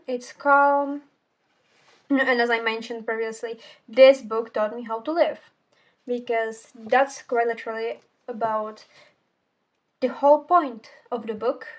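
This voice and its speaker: A teenage girl whose first language is Czech, casually reviewing a book in a rambling, self-correcting way with casual swearing. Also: a little high. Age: teens. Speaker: book vlogger.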